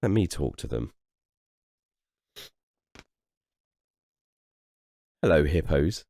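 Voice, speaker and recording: A man plays a kindly patchwork elephant from a storybook, calm and encouraging. The voice is very deep, with expressive rises and falls, and sounds close and dry. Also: brisk, gravelly, no room sound, full character voice. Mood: calm, thoughtful.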